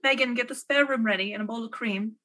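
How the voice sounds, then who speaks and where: Woman as a bright, brisk farm aunt. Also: a little high. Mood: brisk, practical. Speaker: student actor. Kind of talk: radio drama acting.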